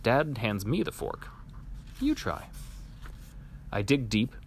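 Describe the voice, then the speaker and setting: A man reading a children's picture book aloud in an animated, expressive storytelling manner. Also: mid-range voice, moderate pace, slight background noise. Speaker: storybook reader.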